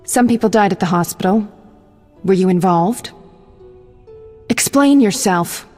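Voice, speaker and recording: An actress playing a young medic in a video game, a concerned friend who is direct and earnest. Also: female voice, mid-range voice, animated, measured pace, dark tone, soft background music. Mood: concerned, firm.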